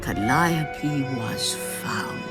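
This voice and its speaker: An actor narrating a mythic video game epic in a solemn, portentous, measured manner. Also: female voice, a bass voice, lively intonation, exaggerated voice. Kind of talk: scripted dramatic game narration. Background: dramatic music.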